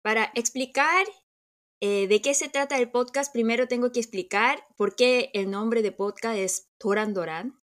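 A woman's voice: a little high, moderate pace. Korean-accented woman chatting in Spanish. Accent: Korean, with a slight Chilean touch. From South Korea. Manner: warm, laughs often, searches for words. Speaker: podcast co-host. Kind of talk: casual chat.

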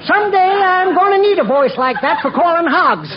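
An actor playing a stubborn old bachelor hog farmer in a radio comedy, with a laconic, folksy rural American drawl. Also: male voice, very high voice, expressive, a little fast. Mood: stubborn, deadpan. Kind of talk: 1940s radio comedy acting. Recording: noisy muffled old radio recording.

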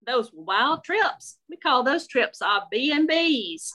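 A woman's voice: high voice, very animated, slow, bright, forceful tone, pitched above the performer's own voice, heavily acted. A woman storyteller voicing a chatty, nosy seventy-seven-year-old lady who calls everyone darling. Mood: gossipy. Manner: gushing, outspoken, calls everyone darling and honey.

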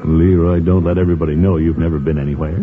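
An actor playing a pompous, flirtatious middle-aged uncle and small-town official in classic radio comedy, blustering and chuckling fussily. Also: male voice, extremely low pitch, steady tone, quick pace, music in the background. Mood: fussy, scheming. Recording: noisy, narrow-band vintage radio recording.

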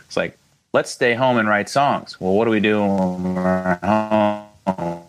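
A man's voice: fairly low pitch, fairly even intonation, a little slow, clear, resonant tone, soft background music. A man, a band member guest, speaking hesitantly and reflectively in casual chat. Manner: hesitant, searching for words.

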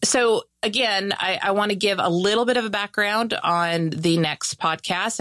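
A woman's voice: sits low, fairly bright tone, dry recording, clean studio sound. A woman hosting her own business podcast, speaking in an upbeat, emphatic way, sounding nasal from a head cold. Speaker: podcast host. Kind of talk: casual solo podcast talk.

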